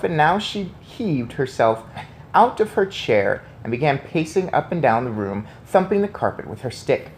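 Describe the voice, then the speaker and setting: A man reading a children's story aloud in expressive narration. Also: on the high side, slight background noise. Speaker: storybook reader.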